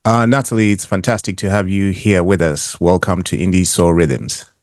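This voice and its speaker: A man hosting a podcast interview over a video call, asking questions in a halting way with many stops and restarts. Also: low-pitched, steady tone, no room sound, clean studio sound. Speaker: podcast host. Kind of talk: casual interview.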